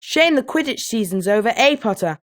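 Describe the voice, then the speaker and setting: A woman playing a keen, sporty young student at a wizard school in animated video game dialogue. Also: a little high, normal speed, close to an everyday voice. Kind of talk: scripted video game voice lines.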